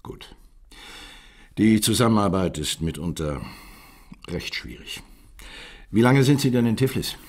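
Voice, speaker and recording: An actor playing a cautious, diplomatic male ambassador with a husky voice and formal manner in a German radio drama. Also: fairly low pitch, lively intonation, measured pace, long pauses, a little mellow. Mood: courteous, guarded. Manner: courteous, formal.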